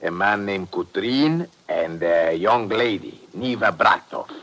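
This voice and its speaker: An actor playing a fawning, unctuous European innkeeper with halting speech in a 1950s radio drama. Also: male voice, on the low side, fairly bright tone. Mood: obliging. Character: fawning male European innkeeper showing off his inn.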